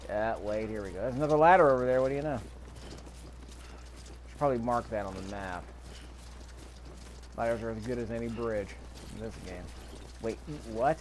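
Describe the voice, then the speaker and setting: A man's voice: on the low side, normal speed, pauses often, slight background noise. A man giving casual gameplay commentary, thinking aloud to himself as he plays. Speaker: gamer recording a gameplay video.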